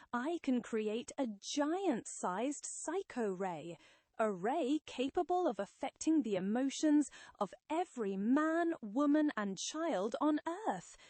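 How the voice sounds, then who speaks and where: Armored male supervillain, acted by a woman: imperious, grandiose, drawn-out delivery, dry close sound, comic-book story. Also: on the high side, a little mellow, no room sound, full character voice. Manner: pompous, grandiose, deliberate.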